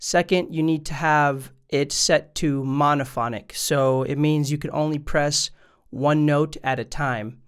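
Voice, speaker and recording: A man with a fairly high, clean and smooth voice talks through a casual how-to video. He explains things step by step in an easygoing, conversational way, speaking straight to his viewers. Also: steady tone, measured pace. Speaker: music gear video maker and presenter.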